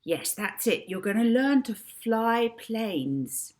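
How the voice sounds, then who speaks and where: Storybook girl played by a woman: bold, cheerful, commanding, animated delivery. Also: fairly high pitch, slow, voice pushed up. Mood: confident, improvising. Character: bold, cheerful young girl hypnotist giving orders.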